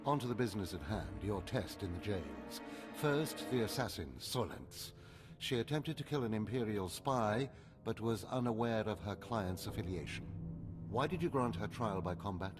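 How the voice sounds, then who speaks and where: An actor playing a stern, haughty older Sith overseer in a video game, clipped, lecturing and condescending. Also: male voice, fairly low pitch, lively intonation, moderate pace, lightly acted. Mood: stern, critical. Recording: loud music behind.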